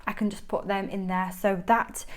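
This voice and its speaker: A young woman with a Manchester accent talks casually and eagerly to her viewers, giggling now and then. Her voice sits at an ordinary middle pitch, and she chats in a relaxed show-and-tell way. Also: normal speed. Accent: Mancunian. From Manchester, England. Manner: chatty, enthusiastic, laughs often. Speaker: planner vlogger.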